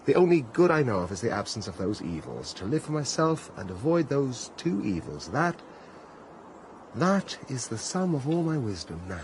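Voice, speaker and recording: A man's voice: medium pitch, very animated, moderate pace, background noise, natural voice. A man with a British accent playing a disillusioned, cynical prince in a radio drama, well-spoken, weary and clipped in manner. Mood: bitter, sceptical. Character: disillusioned, cynical Russian prince, a brooding landowner.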